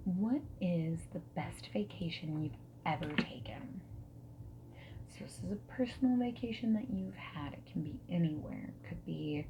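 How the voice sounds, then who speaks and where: A woman playing a friendly, non-judgmental dating service matchmaker in a gentle, soft-spoken and whispered ASMR roleplay. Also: fairly low pitch, background noise, natural voice. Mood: gentle, businesslike. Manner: gentle, reassuring, asks questions and pauses for answers. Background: mechanical keyboard typing and clicks.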